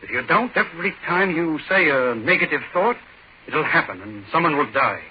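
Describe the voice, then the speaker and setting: An actor playing an urgent, agitated doctor in 1940s radio drama, speaking with insistent emphasis. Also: male voice, on the high side, lively intonation, moderate pace, natural voice. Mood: alarmed. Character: agitated, urgent, self-doubting doctor, a man of facts. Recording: dull, noisy 1940s radio recording.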